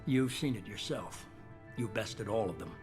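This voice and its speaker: An actor playing a calculating, self-assured leader of a secretive organization, speaking in a measured, persuasive way in a video game. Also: male voice, on the low side, lightly acted. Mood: grave, persuasive. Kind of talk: video game cutscene acting. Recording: music behind the voice.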